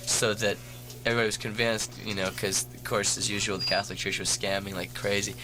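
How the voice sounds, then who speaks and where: A man's voice: lowish voice, level tone, fast talker, music in the background, low-quality recording. A man being interviewed as a musician guest on a campus radio show, rambling with wry jokes and asides.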